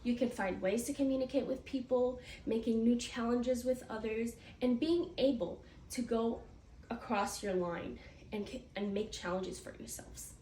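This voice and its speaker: A woman's voice: on the high side, moderate pace, warm tone. A teenage girl from the United States giving a prepared class speech, earnest, with small slips and restarts. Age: teens. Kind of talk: prepared speech read aloud. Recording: echoey room, mic far off, faint noise.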